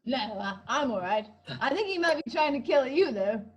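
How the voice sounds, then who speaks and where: A bossy, suspicious gentleman sidekick played by a woman in a comic radio play. The voice is very high and comes across as imperious and emphatic. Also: big pitch swings, normal speed, slightly rough, heavily acted.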